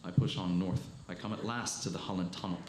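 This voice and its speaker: A man acting a solemn, haunted professor who survived an invasion, narrating gravely and deliberately in a 1930s-style radio drama. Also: sits low, steady tone, natural voice. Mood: searching, wondering. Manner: grave, deliberate, literary storytelling. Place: live performance before an audience in a hall. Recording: echoey live stage, faint noise.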